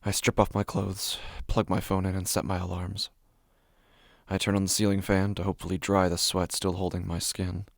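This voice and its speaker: A man playing a frightened, sleepless narrator of his own waking nightmare in a horror podcast, halting and intense, repeating his phrases. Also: sits low, little pitch movement, gravelly, dry recording, studio quality. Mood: weary, tense. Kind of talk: horror fiction podcast, dramatic first-person monologue.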